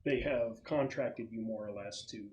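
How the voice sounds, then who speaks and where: A man acting as game master, explaining a tabletop roleplaying game to his players in a halting, explanatory way. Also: on the low side, darkish tone. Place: room at a gaming table with friends. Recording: clear background noise with clicks.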